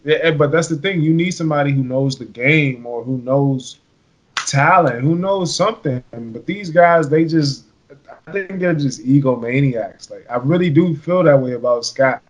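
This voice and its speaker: A man's voice: a little high, moderate pace, rough recording. A man co-hosting a sports podcast, ranting in frustration about his team's management. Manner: exasperated, ranting fan. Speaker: podcast co-host. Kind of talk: casual sports banter.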